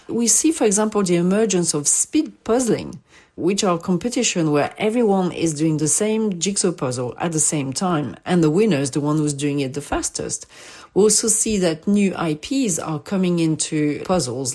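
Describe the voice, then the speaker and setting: A woman's voice: low-pitched, a little mellow, breathy edge. A woman industry analyst giving an interview, emphatic and forecasting trends with rhetorical questions. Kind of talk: interview soundbite.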